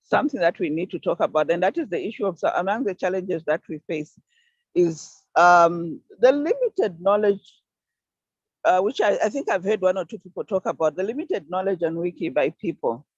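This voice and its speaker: An older woman from Zambia giving an earnest, deliberate talk on an online conference call, stressing key words. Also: on the low side, animated, breathy edge, dry recording. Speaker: panel speaker. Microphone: video call. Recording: dull dry video call audio.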